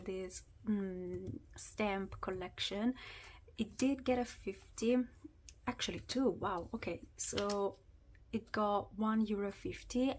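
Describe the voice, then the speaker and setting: A woman's voice: mid-range voice, darkish tone, a little hiss or hum. A woman chatting casually as she sorts cash into savings challenges, her voice weak from a sore throat and sometimes dropping to a whisper. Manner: chatty, drops to whispers at times. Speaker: budgeting vlogger. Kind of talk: casual chat while doing cash envelope challenges.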